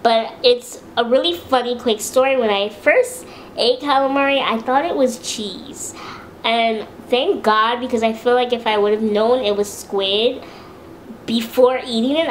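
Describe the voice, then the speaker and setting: Chatty young woman with a high-pitched voice. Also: normal speed, a little hiss or hum. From Los Angeles, USA. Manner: chatty, relaxed, with many fillers and restarts. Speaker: beauty vlogger. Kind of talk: casual chat to camera.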